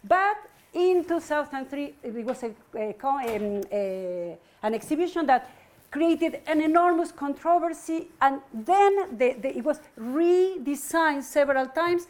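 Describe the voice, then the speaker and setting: Halting woman lecturer from Argentina. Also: high voice, expressive. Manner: thoughtful, halting with frequent fillers. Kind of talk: academic lecture. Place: university lecture room.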